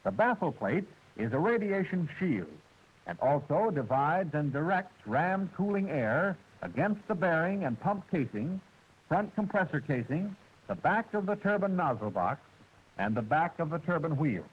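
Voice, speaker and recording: 1940s training film narrator, husky and lively. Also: male voice, fairly high pitch, dull audio. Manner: precise, measured, formal delivery. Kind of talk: scripted instructional narration.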